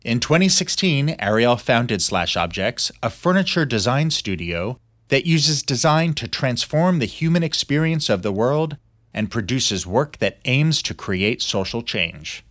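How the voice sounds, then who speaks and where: A man's voice: medium pitch, very animated, a bit bright, no echo, studio quality. Man reading a formal guest introduction. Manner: reads a formal bio with measured emphasis. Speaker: podcast host.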